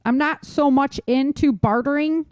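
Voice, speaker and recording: A woman's voice: high voice, moderate pace, darkish tone, dry recording. Casual woman podcaster, dry close sound. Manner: informal, emphatic, laughs easily. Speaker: podcast host. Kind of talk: casual survival-tips discussion.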